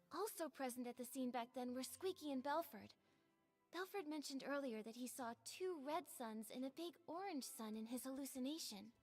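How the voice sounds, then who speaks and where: A woman voicing a gentle, polite young girl heroine in a video game, soft and earnest. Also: high voice. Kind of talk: game voice acting.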